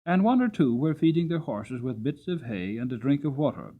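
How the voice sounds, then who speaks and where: A man reads as a dramatic audiobook narrator. His pitch moves widely and his phrasing is deliberate and expressive, shaping each sentence like a storyteller. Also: narrow, tinny audio.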